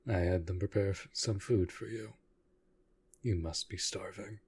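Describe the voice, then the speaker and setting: A man playing an aloof, commanding yet protective vampire master in an intimate roleplay audio drama, speaking in a formal, measured way. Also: low-pitched, fairly even intonation, a little mellow, husky, full character voice. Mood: coolly caring. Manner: formal, measured, deliberate speech.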